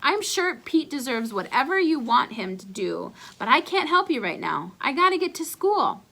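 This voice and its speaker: A woman's voice: high-pitched, big pitch swings, normal speed, creaky, sharp tone, voice pushed up, heavily acted. A woman voicing a slangy, breezy, teasing young uncle in a children's storybook. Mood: puzzled, casual. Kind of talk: children's storybook read-aloud.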